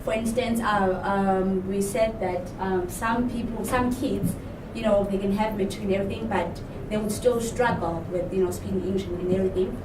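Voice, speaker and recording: A woman's voice: medium pitch, steady tone, moderate pace, far from the mic. A woman, speaking thoughtfully and searching for words. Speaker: class participant. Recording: echoey room from afar, noisy.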